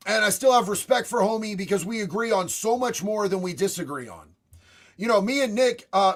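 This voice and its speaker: A man hosting a casual livestream and answering viewer chat in a blunt, profane, slangy way. He has a raspy voice and calls his viewers bro and homie. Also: high-pitched, a bit bright. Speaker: livestream host reading chat.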